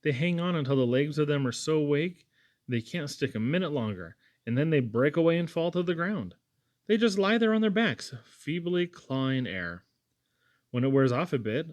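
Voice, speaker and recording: A man's voice: medium pitch, darkish tone, lightly acted. A man plays an earnest young Irish lad from a storybook. He talks in a folksy, wordy way, full of simple wonder at what he has seen.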